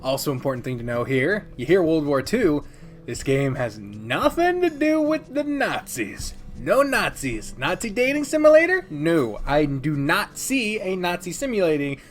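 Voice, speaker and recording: A man's voice: fairly high pitch, big pitch swings, normal speed, soft background music. A man speaking as an irreverent, exclamatory reviewer in a casual commentary style, swearing freely. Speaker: video game reviewer and host of a ranking video.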